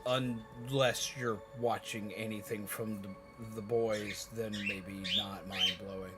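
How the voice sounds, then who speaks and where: A man livestreaming, chatting casually and rambling to viewers in an animated, emphatic, opinionated way. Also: fairly low pitch, slow, loud music behind the voice. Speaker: live streamer. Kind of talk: casual rambling chat with viewers while gaming.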